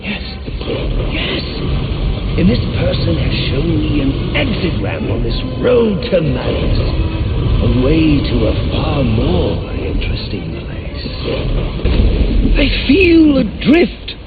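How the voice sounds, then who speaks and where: A theatrical, unhinged clown villain, purring slowly and swinging his pitch wildly between whispers and squeals. It is a video game scene with tinny, phone-like sound buried under a loud musical score. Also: huge pitch swings, loud music behind the voice, heavily acted. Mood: enthralled. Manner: purring, theatrical, swooping pitch, cackling laughs.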